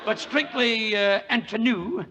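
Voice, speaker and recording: A middle-aged man, hosting 1940s radio comedy banter with gravelly wisecracks. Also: sits high, takes it slowly. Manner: gravelly, wisecracking, laughing at his own jokes. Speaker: comedy show host. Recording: noisy old radio broadcast.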